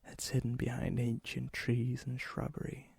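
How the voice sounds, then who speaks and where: A man playing a lonely, gently teasing vampire in an ASMR roleplay, with a soft, breathy voice that often drops into a whisper. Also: mid-range voice, monotone, no echo. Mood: shy, friendly. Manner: slow, intimate, hesitant, gently teasing.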